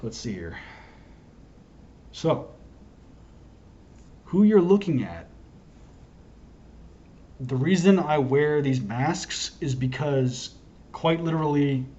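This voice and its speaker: A hoarse man talking to camera in a wandering, self-interrupting, earnest monologue as a self-styled prophet. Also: fairly high pitch, lively intonation, slow, pauses often, dark tone, muffled recording. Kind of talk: rambling solo monologue to camera.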